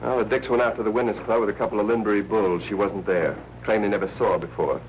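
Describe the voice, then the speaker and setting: A man's voice: fairly low pitch, steady tone, quick pace, natural voice. An actor playing a jittery, snappish American newspaperman in 1940s film noir style, terse and slangy. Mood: businesslike. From United States. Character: jittery, snappish newspaperman worried over a missing girl. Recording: noisy, crackly 1940s film soundtrack.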